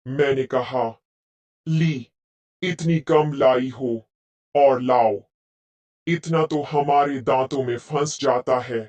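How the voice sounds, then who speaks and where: Cartoon ghost glutton acted by a man: demanding, slow drawn-out delivery, medium pitch. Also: steady tone, a little mellow, natural voice. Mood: greedy, demanding. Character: greedy, demanding hungry ghost at a feast.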